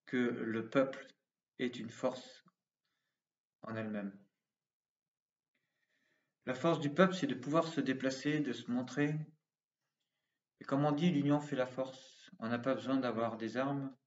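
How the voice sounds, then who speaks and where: Earnest man in a roomy distant recording. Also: medium pitch. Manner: earnest, impassioned, repeats slogans. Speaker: commentator. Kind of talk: direct appeal to viewers. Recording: roomy, distant, dull sound.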